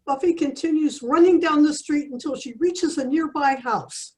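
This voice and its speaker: A woman as a radio play announcer, narrating scripted action in a measured storytelling manner. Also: high voice, darkish tone, natural voice.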